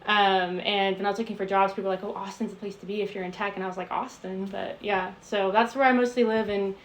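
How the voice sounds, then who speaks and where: A woman from San Antonio, a software engineer, chatting with joking asides. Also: mid-range voice, fairly even intonation, fast talker, rough recording. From San Antonio, Texas. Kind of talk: documentary interview.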